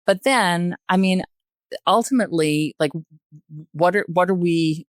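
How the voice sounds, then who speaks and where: A woman's voice: on the low side, measured pace, no echo, studio quality. An older woman in a reflective podcast discussion, speaking emphatically in searching phrases and often checking in with "right?". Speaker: podcast guest, retired journalist.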